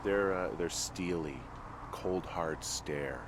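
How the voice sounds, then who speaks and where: A man playing a laconic, sardonic fire lookout in a video game, deadpan and a little hesitant. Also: deep voice, takes it slowly, heavy background noise. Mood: deadpan, bashful. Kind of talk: naturalistic video game voice acting.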